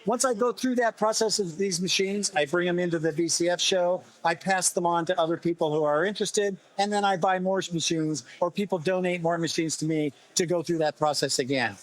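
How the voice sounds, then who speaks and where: A man with a slightly hoarse voice, explaining his vintage repair work conversationally in an interview, often restarting phrases. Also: high-pitched, fairly quick, few pauses. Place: busy festival exhibit table.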